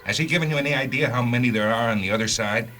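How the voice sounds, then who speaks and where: Guy acting a tense determined hunter barking terse orders, bright forceful voice, mid pitch, faint music, sci-fi radio drama. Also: rapid-fire, close to an everyday voice. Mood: tense, plotting. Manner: terse, commanding. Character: Murdoch, tired, determined male hunter commanding his car. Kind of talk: dramatized science fiction story reading. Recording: music behind the voice.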